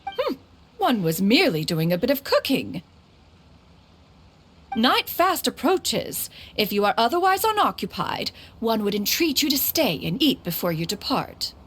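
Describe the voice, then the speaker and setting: A woman's voice: fairly high pitch, huge pitch swings, unhurried, a bit bright, slight background noise, full character voice. A woman playing an imperious, mature immortal master in a video game, with stately, formal, archaic speech. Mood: dignified, inquiring. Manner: formal, archaic, refers to self as "one". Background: music.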